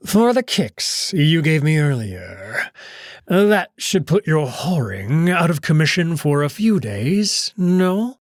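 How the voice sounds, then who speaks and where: Man voicing a slow, menacing old wolf aristocrat. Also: a little high, sing-song, dry recording, clean studio sound, pitched above the performer's own voice. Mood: cruel menace. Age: older. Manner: measured, condescending, formally polite menace. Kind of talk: dramatized visual novel reading.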